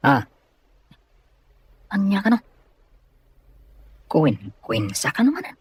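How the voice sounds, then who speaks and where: An actor playing a meek, slow-witted manservant in an audio drama, hesitant, stammering and bewildered. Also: male voice, fairly high pitch, wildly swooping pitch, slightly rough, dry recording, full character voice. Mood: confused, timid.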